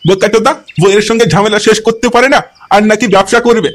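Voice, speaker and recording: A man voicing a gruff, mocking, foul-mouthed father in an amateur cartoon comedy. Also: sits high, steady tone, fast, fairly bright tone. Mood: scornful. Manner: mocking, scolding, crude.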